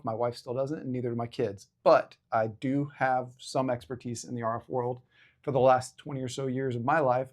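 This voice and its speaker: A man's voice: medium pitch, darkish tone. A man in his forties hosting an educational video, explaining things informally to viewers with a self-deprecating streak. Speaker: host of an educational video series. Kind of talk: casual explainer talk to camera.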